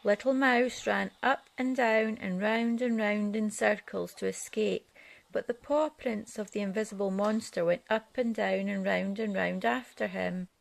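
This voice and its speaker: A woman's voice: medium pitch, steady tone, a little slow, gravelly. A woman narrating a children's picture book aloud as a storyteller.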